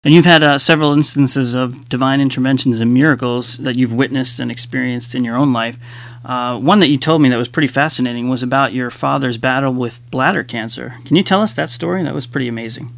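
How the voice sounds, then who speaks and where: A man's voice: medium pitch, fast talker, creaky, fairly bright tone. A man hosting a radio interview, who often chuckles and nods along with short affirmations. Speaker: radio show host.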